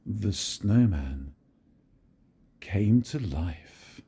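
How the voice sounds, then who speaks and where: An older man reading a picture book aloud to his grandchildren in a gentle, very expressive storytelling manner. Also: fairly low pitch, extremely slow, creaky, husky.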